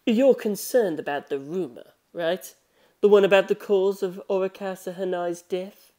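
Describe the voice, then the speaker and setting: A woman voicing a brisk, self-assured male manga artist in an audiobook, speaking in a matter-of-fact, articulate way. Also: medium pitch, warm tone, lightly acted. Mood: probing.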